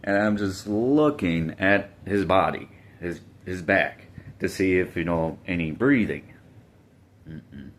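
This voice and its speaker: A man with a deep, low-set voice recounts a personal story in a casual, unhurried way. He hesitates often and restarts phrases, filling pauses as he goes. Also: animated.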